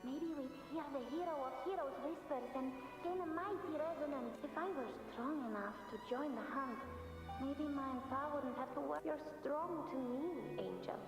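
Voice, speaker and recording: An actress voicing an earnest child in a video game flashback, the voice washed in long reverb. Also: female voice, high voice, normal speed. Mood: wistful. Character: earnest child in a remembered past. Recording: echoey distant flashback reverb, music behind.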